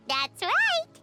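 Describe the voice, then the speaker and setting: Tiny excitable game fairy, extremely high squeaky voice, wildly animated, loud music behind. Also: female voice, full character voice. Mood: cheerful. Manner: squeaky, speaks of herself in third person. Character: small excitable childlike fairy companion, female. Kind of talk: anime-style game voice acting.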